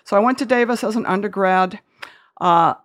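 A woman's voice: medium pitch, normal speed, a bit bright. A woman in her sixties from Wales, a podcast guest talking through her life story in a chatty, self-correcting way. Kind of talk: conversational interview.

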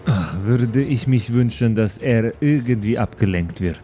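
A man's voice: medium pitch, a little slow, dark tone, faint music behind. A man playing in a tabletop role-playing podcast, chatting casually in a wry, teasing way. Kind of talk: casual chat, improvised.

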